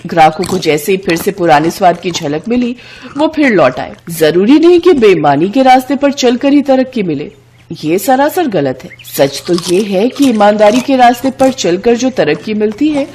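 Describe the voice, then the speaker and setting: A woman's voice: medium pitch, dark tone, slight background noise. A woman narrating a scripted moral story as a storyteller.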